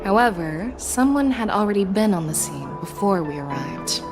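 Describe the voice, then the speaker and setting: A woman's voice: medium pitch, animated, normal speed, over music, natural voice. A woman acting as a composed military envoy in a video game, speaking formally and articulately. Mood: businesslike.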